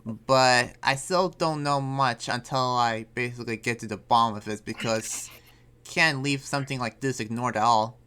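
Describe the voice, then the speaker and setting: A man's voice: mid-range voice, fairly even intonation, moderate pace, pushed and bright, smooth, close to an everyday voice. A man playing an earnest demon slayer in an improvised roleplay, explaining things in a casual, rambling way.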